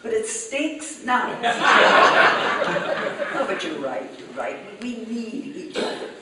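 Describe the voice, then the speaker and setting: Gullible miffed radio wife, very animated pitch, echoey, loud background noise. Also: female voice, slow pace. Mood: aggrieved. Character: aggrieved, gullible sitcom wife, the housewife's friend. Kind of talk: live re-staged old-time radio comedy acting. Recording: echoey noisy hall from far off.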